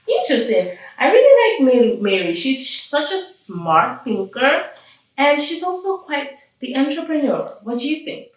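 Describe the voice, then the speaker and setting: Female children's story reader, high lilting voice, unhurried, enthusiastic, drawing out key words. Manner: expressive, enthusiastic, drawn-out emphasis. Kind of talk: animated read-aloud with chatty asides to children. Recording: very echoey room, distant mic, music behind.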